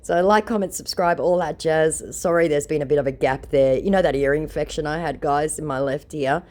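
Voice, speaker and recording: A woman's voice: sits low, brisk, darkish tone, dry recording. A woman with an ear infection, mocking a phone scammer in a sarcastic, confrontational way. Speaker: scam-baiting vlogger. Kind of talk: confronting a phone scammer, then chatting to viewers.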